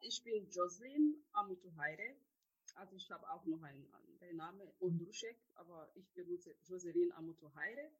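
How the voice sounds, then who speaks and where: A woman in her thirties from Uganda, a master's student calmly introducing herself. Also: fairly low pitch, normal speed, breathy edge. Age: 30s. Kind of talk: self-introduction. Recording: dull, muffled audio.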